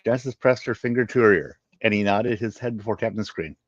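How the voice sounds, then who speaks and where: Low-voiced man reading aloud, muffled and roomy. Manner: halting, stumbles over words. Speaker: reader. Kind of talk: reading a sci-fi story aloud. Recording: muffled, roomy home recording.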